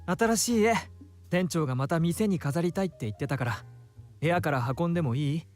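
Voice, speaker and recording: Actor as a lilting, animated effeminate young man. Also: a little high, racing, soft background music, close to an everyday voice. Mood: friendly, concerned. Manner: soft feminine phrasing, lilting, expressive. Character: Ray, effeminate, friendly, caring young man.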